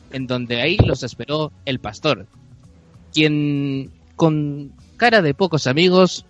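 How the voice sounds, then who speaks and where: A man with a Chilean accent narrating an improvised comic radio play in halting, mock-solemn phrases. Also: fairly high pitch, dull audio. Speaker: narrator on a comedy improv show.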